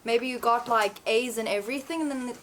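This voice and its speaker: Quick-talking young teen girl. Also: on the high side, a bit bright. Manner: quick, questioning. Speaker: student debater. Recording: noisy classroom.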